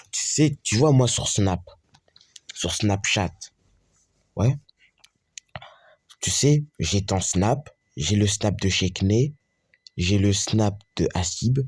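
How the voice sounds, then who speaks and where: A man with a lowish voice talks his way slowly through a homemade school story, playing every part himself. He stumbles and repeats phrases as he goes. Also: a little mellow. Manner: halting, repetitive, restarts mid-phrase. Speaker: storyteller voicing all characters. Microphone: screen-recorder capture.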